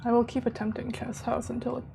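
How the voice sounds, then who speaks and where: A slightly hoarse woman chatting casually to her viewers while she plays a game, rambling and laughing as she goes. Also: on the high side, little pitch movement, fast talker, background noise. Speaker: streamer. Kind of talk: casual live-stream chat while gaming. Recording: game music playing behind.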